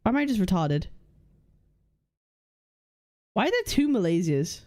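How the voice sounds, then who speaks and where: A young woman hosting a casual livestream, reading chat and lists aloud, blunt and swearing freely as she thinks out loud. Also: mid-range voice, sing-song, a little slow. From United Kingdom. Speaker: livestream host.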